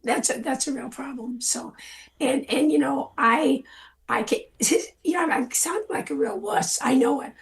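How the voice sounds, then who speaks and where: An older hoarse woman, a farm safety guest on a radio show, talking casually and rambling with a self-deprecating manner. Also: sits high, a little slow.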